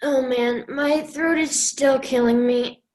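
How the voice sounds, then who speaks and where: A woman's voice: sits high, fairly even intonation, slow pace, darkish tone. A teenage woman playing a weary, plaintive military commander in a radio play. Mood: weary, commanding. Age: late teens. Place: home room on a video call.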